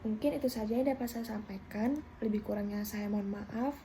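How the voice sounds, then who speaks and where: A young adult woman, a university student, presents her class project in a steady, slightly formal way, with occasional hesitant fillers. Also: medium pitch, fairly quick, a little hiss or hum.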